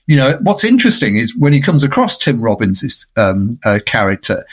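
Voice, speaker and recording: A man speaks as a guest in a film discussion, with a middle-pitched voice. He is discursive and emphatic, building his analysis as he goes and often seeking agreement. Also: sing-song, moderate pace.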